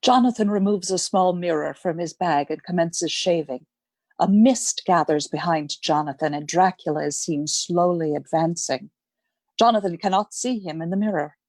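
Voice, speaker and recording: A woman reading scripted narration for a radio play in a measured storytelling manner. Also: fairly low pitch, darkish tone, dry recording, close to an everyday voice.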